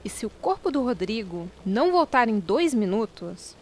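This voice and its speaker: Bossy Brazilian woman, acted, higher voice. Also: big pitch swings, moderate pace. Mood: stern. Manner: sarcastic, commanding, slangy. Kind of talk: audio drama acting.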